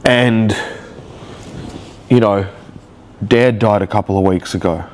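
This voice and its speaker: A man's voice: lowish voice, slow, a little mellow, slight background noise. Stuffy-nosed man rambling emotionally. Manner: rambling, emotional, searching for words. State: nasal from a cold. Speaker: vlogger. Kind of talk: confessional video diary.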